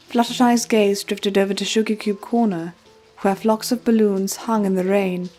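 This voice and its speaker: A woman's voice: mid-range voice, darkish tone. A woman reading scripted storybook narration as the storyteller, with music playing behind her. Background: background music.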